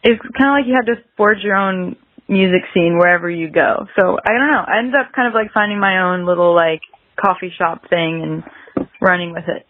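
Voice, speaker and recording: A dry, slightly dull recording of an American woman in her early twenties. She chats casually as a podcast guest at an average pitch, hedging often and peppering her sentences with "like.". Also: moderate pace, no room sound. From South Carolina, United States. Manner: hedging, very frequent "like" filler. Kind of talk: casual interview chat.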